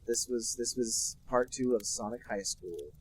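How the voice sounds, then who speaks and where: A young adult man co-hosting a casual podcast, dropping dry, deadpan quips. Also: medium pitch, a little slow, a crowd in the background. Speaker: podcast co-host. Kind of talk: casual chat.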